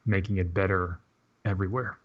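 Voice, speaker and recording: Male podcast panelist, fairly low voice, halting casual delivery with repeated words, slightly muffled audio. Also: little pitch movement. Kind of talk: casual tech podcast discussion.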